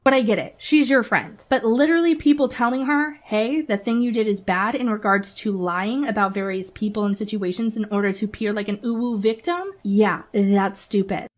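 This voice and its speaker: A woman's voice: mid-range voice, moderate pace, hardly any pauses. A woman giving casual, opinionated video commentary. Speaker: YouTube commentator.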